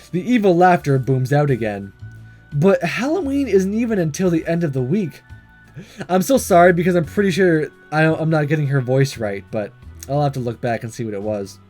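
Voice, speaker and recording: Guy reading a visual novel out loud, higher voice, really lively, music behind. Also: normal speed. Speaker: gaming video host reading a visual novel aloud. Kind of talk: animated read-aloud narration with casual commentary.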